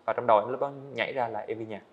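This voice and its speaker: A man's voice: mid-range voice, steady tone, racing, muffled recording. A young man speaking as a podcast guest, explaining his plans at length in an earnest, casual way, seated in an indoor studio set. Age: young adult. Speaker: guest, student animator. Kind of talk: casual interview talk.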